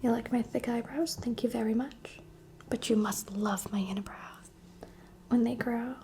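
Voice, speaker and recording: Young woman ASMR creator from the UAE, soft unvoiced whisper, chatty and candid, faint hiss behind. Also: on the high side, fairly even intonation, moderate pace.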